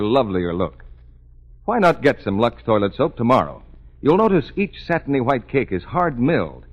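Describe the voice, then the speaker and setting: A male 1940s radio announcer with a medium-pitched, slightly husky voice and lively inflection. He delivers a polished, persuasive sales pitch. The vintage recording sounds dull.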